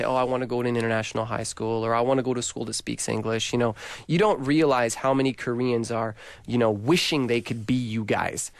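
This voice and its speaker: A man's voice: medium pitch, dry recording. A man hosting a radio show, interviewing students casually with jokey, slangy banter.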